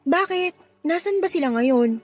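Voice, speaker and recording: A woman playing a cheerful, friendly young provincial woman in a Tagalog radio drama, playful and expressive. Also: very high-pitched, measured pace. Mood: curious. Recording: music under the voice.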